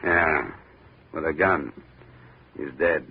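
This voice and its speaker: An actor playing a terse, cynical, world-weary American investigator in a 1950s radio detective drama. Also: male voice, extremely low pitch, slow, husky, full character voice. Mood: blunt questioning. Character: hard-boiled, cynical male investigator. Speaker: lead actor narrating as an insurance investigator. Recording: tinny 1950s radio recording, loud music.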